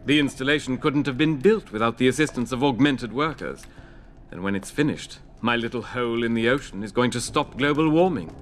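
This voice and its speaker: An actor playing an urbane, weary scientist in his sixties in a video game, measured and gently ironic. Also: medium pitch, faint music behind, natural voice. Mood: urbane, wry. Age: 60s. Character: older male scientist-inventor, urbane, weary, wry. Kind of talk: video game cutscene acting.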